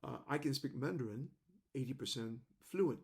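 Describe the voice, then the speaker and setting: A man in his seventies from China, a native Cantonese speaker, talking to his online audience in a rambling, halting, reflective monologue. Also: medium pitch, vocal fry, a little mellow. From China (Shanghai and Macau). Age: 70s. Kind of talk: personal video monologue.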